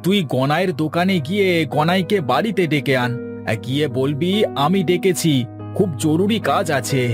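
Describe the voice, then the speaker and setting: A man's voice: a little high, normal speed, over music, lightly acted. A man voicing a bossy but well-meaning eldest brother of a village household in a cartoon, speaking with authority. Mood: commanding. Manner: authoritative, decisive. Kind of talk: cartoon dialogue.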